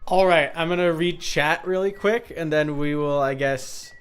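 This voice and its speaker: A man's voice: a little high, normal speed, clear, resonant tone. A man streaming a game and chatting with viewers in a rambling, informal, sweary way. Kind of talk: casual chat with viewers. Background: music.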